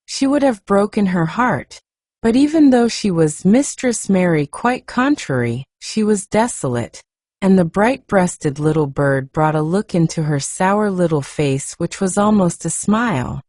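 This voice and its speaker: Soft, mellow woman reading a storybook. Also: lowish voice, animated, measured pace, no room sound, studio quality. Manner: soft, hushed, gentle delivery. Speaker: audiobook reader. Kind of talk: softly read storybook narration.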